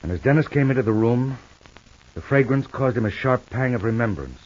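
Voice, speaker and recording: An actor playing a tender, brooding husband with an American accent, musing haltingly in a 1950s radio soap opera. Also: male voice, mid-range voice, expressive, a little mellow, close to an everyday voice. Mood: gentle. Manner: halting, musing aloud to himself. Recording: muffled old radio recording.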